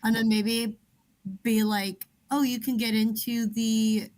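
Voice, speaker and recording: A woman with a Chicago accent talks casually, as a co-host on a book club show would. Her voice sits a little higher than most women's, and the delivery is conversational. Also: little pitch movement, takes it slowly, darkish tone, rough recording. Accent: Chicago American. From Chicago area, United States. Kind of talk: casual chat.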